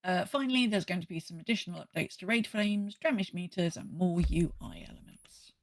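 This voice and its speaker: A woman's voice: fairly low pitch, brisk, dry recording. A woman co-hosting a gaming podcast, chatting casually and explaining things in a conversational way. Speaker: podcast co-host.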